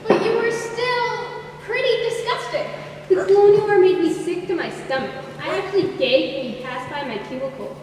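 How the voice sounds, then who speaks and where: Amateur actress as very high-pitched aggrieved woman onstage. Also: moderate pace, a little mellow, slight background noise. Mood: indignant. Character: aggrieved, needy woman airing grievances. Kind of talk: amateur comic stage play acting. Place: school theatre stage, recorded from the audience. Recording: very echoey hall from far off.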